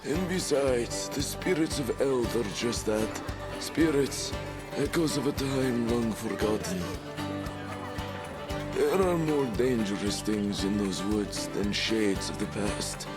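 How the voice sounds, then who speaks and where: A man playing a calm old village elder in a visual novel, measured, thoughtful and reassuring. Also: medium pitch, loud music behind the voice, natural voice. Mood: reassuring, grave. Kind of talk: dramatic visual novel acting.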